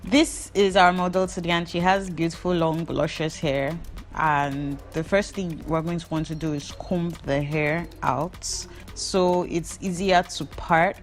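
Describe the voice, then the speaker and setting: A woman's voice: lowish voice, fairly even intonation, measured pace, faint music behind. A young Nigerian woman with a Nigerian accent and Yoruba as her first language, giving a hair-braiding tutorial voice-over in a playful, gossipy, rambling way.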